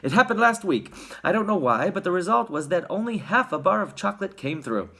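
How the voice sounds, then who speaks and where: A performer voicing an eccentric, airily unconcerned male inventor from a storybook, brisk and excitable. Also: medium pitch, creaky, pitched above the performer's own voice, heavily acted. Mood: fretful, airy.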